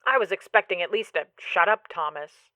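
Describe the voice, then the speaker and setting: A woman voicing an eager, excitable male podcaster chatting pushily on a phone call in an audio story. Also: lowish voice, normal speed, no room sound. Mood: concerned, teasing. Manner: chatty, pushy, enthusiastic. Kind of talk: audio fiction character dialogue.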